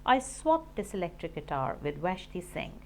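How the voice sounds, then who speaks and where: A woman's voice: lowish voice, very animated, normal speed, slight background noise. A woman voicing a cheeky, offhand young boy in a children's storybook. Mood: matter-of-fact. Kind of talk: storybook character voice.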